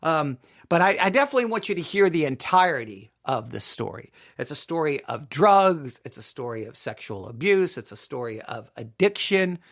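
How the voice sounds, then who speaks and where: A man with a slightly high-pitched voice gives informal personal commentary as a host. He is emphatic and often halting, circling back to repeat words and phrases for weight. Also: very animated, a bit bright. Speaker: podcast host.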